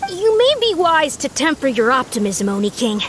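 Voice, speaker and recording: A woman's voice: high-pitched, sing-song, normal speed, slight background noise. A woman voicing a small, earnest young female video game character who speaks in a formal, thoughtful, wordy way. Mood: cautious, formal.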